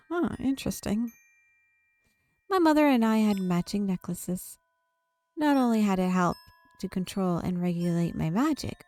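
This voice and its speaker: A woman's voice: mid-range voice, darkish tone. A woman streamer reads visual novel text aloud expressively, dropping in casual asides. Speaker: video game streamer. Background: game music.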